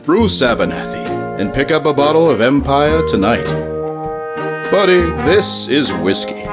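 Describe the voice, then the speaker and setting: Retro ad spot: self-assured celebrity pitchman, lively swings, medium pitch, music under. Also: measured pace. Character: smooth-talking, self-assured TV star whiskey pitchman. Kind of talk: vintage radio commercial pitch. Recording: guitar music behind.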